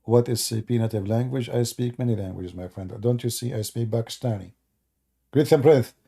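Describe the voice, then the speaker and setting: A middle-aged man from the Middle East whose first language is Arabic, talking loosely on a live stream in broken English. His voice is very dark and mellow, with a gravelly fry running through it. He is sarcastic and teasing with his chat. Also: medium pitch, fairly even intonation, fairly quick. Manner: sarcastic and joking, mocks the chat. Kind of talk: casual live stream chat, answering comments.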